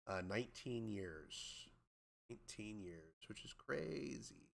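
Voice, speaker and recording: A man's voice: fairly low pitch, expressive, takes it slowly, gravelly. A man working as an air traffic controller, casually reading and answering viewer questions, informal and thinking aloud as he goes. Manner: informal, thinking aloud, imitates beeps and radio calls.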